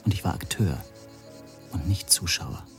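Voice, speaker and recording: An actor playing an anxious, wry spy suspect who narrates reflectively in the first person in a radio drama. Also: male voice, low voice, a little slow, soft background music.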